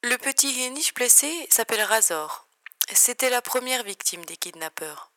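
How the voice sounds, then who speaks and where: A composed, reassuring security agent, played by a woman, speaks with a bright, crisp edge. The voice is fairly high and the sound is close and dry, in audio-drama style. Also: expressive, moderate pace, dry recording. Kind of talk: French audio drama acting.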